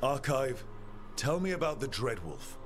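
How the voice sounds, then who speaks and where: An actor playing a calm, caring adventurer who leads his party in a video game. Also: mid-range voice, measured pace, faint music behind. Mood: inquiring. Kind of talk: video game character dialogue.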